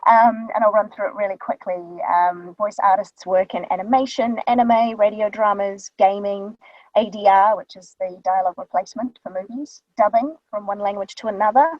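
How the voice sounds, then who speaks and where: A woman's voice: medium pitch, fairly even intonation, normal speed, darkish tone, no room sound. A woman with a New Zealand accent, a voice artist explaining her work in casual, chatty talk with many ums, speaking into a studio microphone in a small vocal booth. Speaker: voice artist guest. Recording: dull, dry booth sound.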